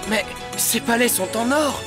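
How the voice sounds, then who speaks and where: A performer playing a young, grumbling, easily awed traveller, plain-spoken and exclamatory, in a French animated series dub. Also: medium pitch, unhurried, music in the background, natural voice. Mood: amazed, relieved.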